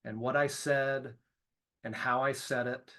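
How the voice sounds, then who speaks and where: A man's voice: mid-range voice, steady tone, a little slow, pushed and bright. A man teaching students in an informal, self-deprecating way, often checking in as he comments on his lesson. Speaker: trainer teaching students about cold calls. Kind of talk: casual video lesson with commentary.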